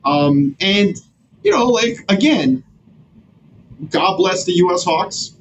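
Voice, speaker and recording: Animated man from Serbia, lo-fi line. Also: sits high, a little hiss or hum. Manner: animated, fast, slangy, frequent restarts. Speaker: guest strategist. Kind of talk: casual podcast conversation.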